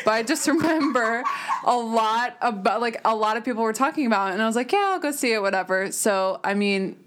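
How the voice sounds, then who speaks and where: A woman's voice: on the high side, a little fast, background noise. A young woman co-hosting a casual podcast, talking in a loose, rambling way full of fillers. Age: young adult. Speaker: podcast co-host. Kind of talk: casual chat.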